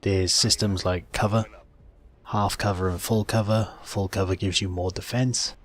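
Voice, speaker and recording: A man's voice: on the low side, steady tone, unhurried. A man giving plain-spoken, explanatory commentary on a video game as the channel's host.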